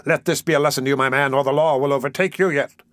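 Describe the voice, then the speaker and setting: A man's voice: mid-range voice, fairly even intonation, fast talker, a bit bright, no room sound, studio quality, lightly acted. A man voicing a pompous, bullying police magistrate in an audiobook, with peremptory, contemptuous delivery. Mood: stern, contemptuous.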